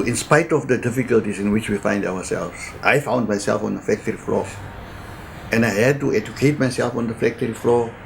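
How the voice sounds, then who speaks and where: A man in his eighties with a South African accent, giving a slow, halting oral-history testimony with many repeated words and pauses. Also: lowish voice, darkish tone, rough recording. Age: 80s. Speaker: interviewee sharing family history. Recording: recording with clear background noise.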